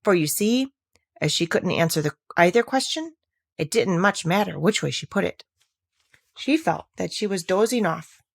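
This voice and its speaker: A woman reading a children's story aloud as expressive narration. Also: on the low side, vocal fry, no room sound, clean studio sound. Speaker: audiobook reader.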